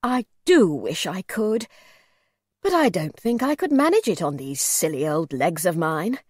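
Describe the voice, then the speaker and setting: A woman voicing a gentle, pleading, very old gentleman in a storybook, well-spoken and old-fashioned in his courtesy. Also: fairly low pitch, sing-song, moderate pace, dry recording, studio quality, voice pushed down. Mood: wistful. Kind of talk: storybook character voice.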